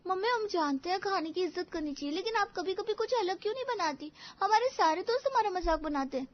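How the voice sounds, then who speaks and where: A woman voicing a whiny, complaining schoolchild in an animated family story. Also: extremely high pitch, fast, dull audio. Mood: whiny complaint. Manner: pleading, complaining. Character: whiny complaining schoolchild sick of plain home food.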